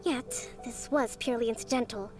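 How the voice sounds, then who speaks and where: An actress playing a calm, wise young military leader in a video game, speaking formally and with measured, gentle firmness. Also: female voice, on the high side, soft background music, close to an everyday voice. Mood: firm, reproving.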